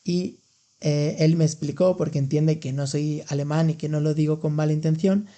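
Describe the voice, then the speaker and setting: A man's voice: fairly high pitch, fairly even intonation, moderate pace, warm tone. A young man, hosting a casual language-learning podcast and explaining expressions patiently with examples. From Andalusia, Spain. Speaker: podcast host and language teacher. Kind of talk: casual educational chat.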